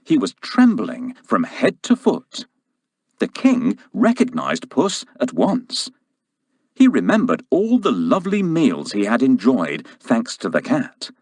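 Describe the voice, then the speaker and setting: A man narrating a children's story from a script in an expressive, deliberate way. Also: mid-range voice, breathy edge, no echo.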